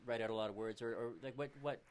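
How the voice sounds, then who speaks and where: A man's voice: mid-range voice, steady tone, fast. A man interviewing a poet, asking questions hesitantly and repeating words as he searches for the phrasing.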